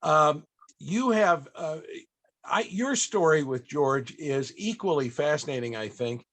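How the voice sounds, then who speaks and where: An older man hosting an interview, chatty and a bit halting, with frequent chuckles. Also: a little high, expressive, fairly bright tone. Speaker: panel host.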